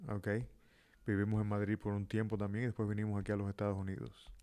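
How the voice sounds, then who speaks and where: Middle-aged male guest, Cuban accent, lowish voice, pastor explaining things emphatically in conversational interview style. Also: monotone, fast, mellow. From Cuba.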